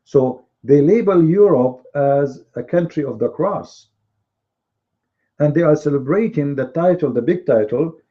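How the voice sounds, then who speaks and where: A man whose first language is Arabic, hosting a live online commentary show, with emphatic, repetitive speech and drawn-out stresses. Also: mid-range voice, lo-fi sound.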